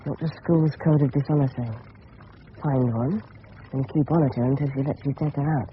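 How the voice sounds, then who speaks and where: A man's voice: mid-range voice, steady tone, normal speed, close to an everyday voice. Tinny radio voice of an eager English schoolboy, acted by a man. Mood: musing, cheeky. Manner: clipped, fragmentary, boyish slang. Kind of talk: 1970s radio drama inner monologue. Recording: tinny, faintly noisy old radio broadcast.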